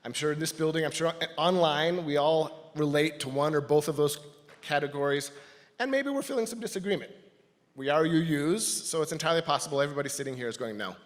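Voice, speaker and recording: A man giving a personal, reflective talk to a congregation as a lay speaker, in a thoughtful, halting manner. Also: a little high. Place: large indoor church space. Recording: reverberant hall sound.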